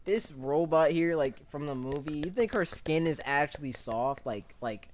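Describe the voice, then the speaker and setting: A young adult man giving casual, jokey, slangy reaction commentary in an excitable way, often repeating himself. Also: on the high side, moderate pace, slight background noise. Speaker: reaction video host. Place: small bedroom indoors. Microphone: desk microphone with pop filter.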